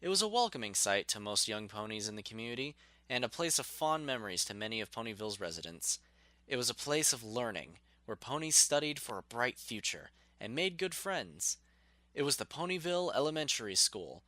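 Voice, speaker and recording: Lively, bright-voiced male storyteller. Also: medium pitch, no room sound. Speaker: narrator. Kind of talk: expressive scripted storybook narration.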